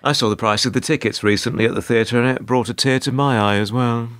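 A man's voice: mid-range voice, steady tone, fast talker, fairly bright tone, lightly acted. A British man playing a weary middle-aged narrator in a radio play, dry, hesitant and sardonic. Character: wry, weary older male narrator, reluctant bystander.

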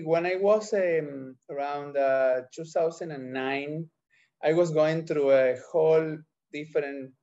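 A middle-aged man with an Argentine accent and Spanish as his first language, answering interview questions haltingly as he searches for words. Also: a little high, darkish tone. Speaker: entrepreneur, podcast guest. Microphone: video call microphone. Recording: dull, lo-fi video call.

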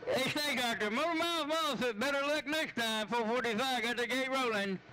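A man's voice: very high-pitched, moderate pace. A man making folksy, repeated calls in casual CB radio slang. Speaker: CB radio operator. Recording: bright CB receiver audio with faint noise.

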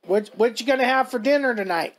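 A man's voice: extremely high pitch, fast. A man on a prank phone call with a scammer, playing dumb and chatting off topic. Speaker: prank caller baiting a scammer.

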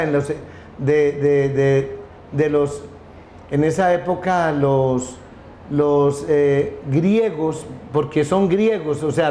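A middle-aged man tells a long story as a guest, stumbling and restarting often. His voice sits a little high. The room gives it a noticeable echo, with faint noise underneath. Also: warm tone. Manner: rambling, many restarts and repeated words. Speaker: company founder, interview guest. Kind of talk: casual storytelling interview. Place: room with wooden wolf statue, indoors.

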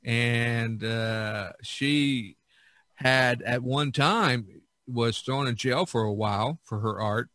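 A man's voice: medium pitch, slow pace, fairly bright tone, no echo. A man chatting casually as a podcast host, reminiscing in a rambling way that repeats and circles back.

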